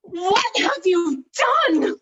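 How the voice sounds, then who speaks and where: A woman's voice: very high voice, sing-song, very slow, highs cut off, heavily acted. A woman acting an exasperated, scornful schemer in a staged comedy reading, condescending and emphatic. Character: exasperated, scornful, scheming woman berating her dim partner.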